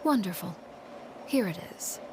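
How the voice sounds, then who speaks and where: An actress playing a cold, composed woman leader in a video game, speaking softly in a measured, formal way. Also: mid-range voice, animated, slight background noise, lightly acted. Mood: composed, businesslike.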